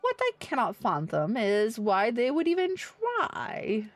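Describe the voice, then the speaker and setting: A woman's voice: on the high side, wildly swooping pitch, slow pace, no echo, soft background music. A woman livestreaming a game, reading its dialogue aloud in character with theatrical expression and casual asides.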